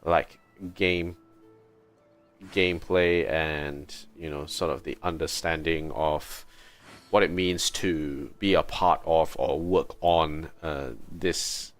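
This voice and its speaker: A male livestreamer with a deep voice talks casually through his gameplay. He goes slowly, thinking aloud in short, halting phrases. Soft music plays behind him. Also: fairly even intonation. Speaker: game livestreamer. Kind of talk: casual live gameplay commentary.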